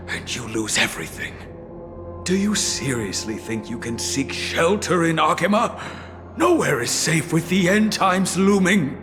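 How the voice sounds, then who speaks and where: A stern slow-spoken priest. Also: male voice, medium pitch. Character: stern devout male priest, proud. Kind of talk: game cutscene voice acting. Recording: echoey hall reverb.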